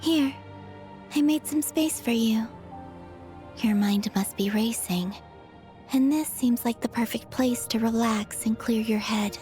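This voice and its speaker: Woman playing a gentle, higher-voiced serene moon maiden. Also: a little slow, close to an everyday voice. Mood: caring, curious. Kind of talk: video game voice acting. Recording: music behind the voice.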